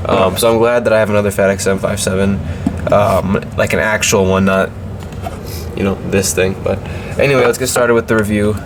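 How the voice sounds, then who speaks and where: A man's voice: lowish voice, fairly even intonation, brisk, background noise. A man with a slight cold reviewing a model airplane in a casual, hesitant way, with many ums and restarts.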